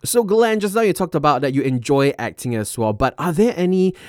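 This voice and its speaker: A man's voice: a little high, expressive, fairly quick, a little mellow, dry recording, clean studio sound. A young man hosting a night radio show, interviewing a guest with upbeat, gushing energy. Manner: hyped, gushing fan-like host.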